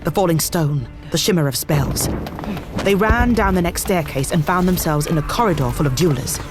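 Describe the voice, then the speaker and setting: A woman's voice: on the low side, normal speed, natural voice. A woman narrating a tense battle as the storyteller of a dramatised audiobook. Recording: dramatised audiobook with music behind.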